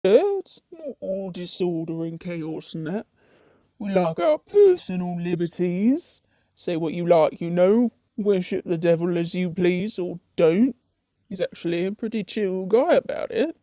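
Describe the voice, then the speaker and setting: This is a woman's take on an ordinary demon who is thoroughly at ease in hell. The voice is dark and relaxed, recorded very dry. The talk wanders casually in colloquial turns, with a mild teasing edge, as in a comic puppet show. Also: lowish voice, a little slow, dry recording. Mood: easygoing, chatty. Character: laid-back, chatty, ordinary demon lounging in hell.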